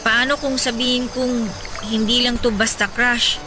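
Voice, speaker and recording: A high, hesitant young woman's voice with a Filipino accent sounds over clear music. An actress plays her in a radio drama. She is shy, lovestruck and apologetic as she speaks. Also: level tone, moderate pace, lightly acted. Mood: apologetic, confessing. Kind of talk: Tagalog radio drama acting. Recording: music behind the voice.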